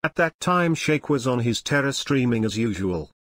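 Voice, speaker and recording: A man's voice: mid-range voice, expressive, moderate pace, a bit bright, no room sound, clean studio sound. A man narrating a children's story from a script. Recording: music under the voice. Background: background music.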